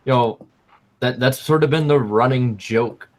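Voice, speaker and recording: A man's voice: medium pitch, measured pace. Young man co-hosting with easy laughs. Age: 20s. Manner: laughs often, stammers when starting. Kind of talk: casual podcast chat.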